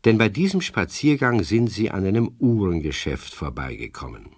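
A man's voice: deep voice, very animated, moderate pace, slightly rough, no room sound, clean studio sound. A man narrating a scripted children's radio play in a storytelling style.

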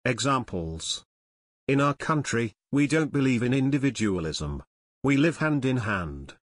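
A man narrating a scripted English lesson, reading slide text slowly and evenly as the channel's host. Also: mid-range voice, sing-song, no echo. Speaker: English teacher.

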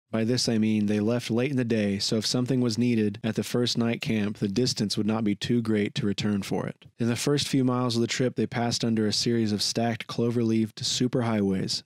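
A man narrating a written account for a podcast in a measured, steady way. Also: fairly low pitch, dry recording, studio quality. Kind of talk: scripted narration of a magazine article.

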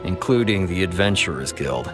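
A man's voice: sits low, animated, moderate pace, loud music behind the voice. A man playing a dutiful, slightly exasperated police officer explaining things formally in a video game. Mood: stern. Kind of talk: video game character acting.

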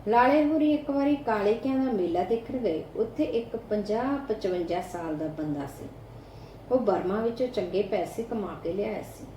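A woman's voice: mid-range voice, lively intonation, normal speed, dark tone. A woman with an Indian Punjabi accent narrating a short story from a script, as in an audiobook. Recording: roomy echo, faint background noise.

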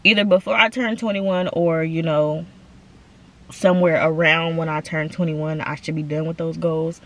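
A woman's voice: deep voice, fairly even intonation, moderate pace. A young woman in her twenties chatting casually to her viewers from inside a parked car, full of slang and calling them y'all. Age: 20s. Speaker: vlogger. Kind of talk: casual chat to camera.

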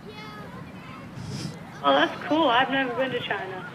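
A woman's voice: high-pitched, expressive, measured pace, crowd noise. Woman clerk on a muffled phone line. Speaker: hotel front desk clerk. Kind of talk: customer service phone call. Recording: muffled phone call, faint noise.

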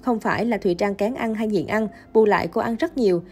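A woman narrates a scripted news feature in a mellow, warm voice with a steady, even read. Soft music plays quietly behind her. Her pitch sits in a middle range for a woman. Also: fairly quick.

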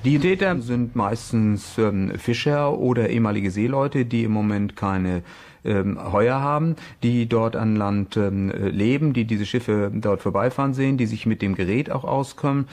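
A man speaking off the cuff as an expert in an interview, with a hesitant, halting delivery. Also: fairly low pitch, darkish tone. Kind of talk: unscripted interview commentary.

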